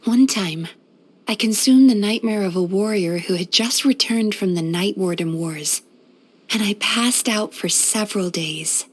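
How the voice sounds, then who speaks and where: A woman playing a gentle, caring female psychologist in a video game, speaking in a soothing, thoughtful, measured and softly playful manner. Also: medium pitch, husky. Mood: somber. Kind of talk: scripted video game character voice lines.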